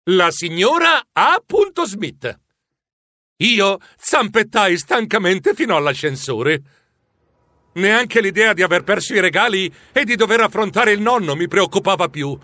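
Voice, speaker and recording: An excitable, anxious mouse narrating his own adventure in a children's storybook. His bright voice leaps up and down dramatically, in a dry studio-close recording. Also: high voice, unhurried, no echo, exaggerated voice. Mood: narrating, weary. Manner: dramatic, expressive, breathless exclamations. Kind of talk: children's audiobook first-person narration.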